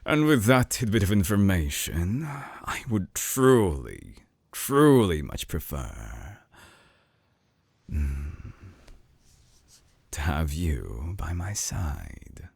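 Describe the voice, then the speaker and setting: An actor playing a suave, obsessive villain scientist in an intimate binaural audio drama, teasing and menacing, with a husky voice that slips into breathy whispers. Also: male voice, deep voice, sing-song, no echo, studio quality, heavily acted. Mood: sly, seductive. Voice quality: husky, gravelly. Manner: slow, theatrical, teasing, sliding into breathy whispers. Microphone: close binaural microphone.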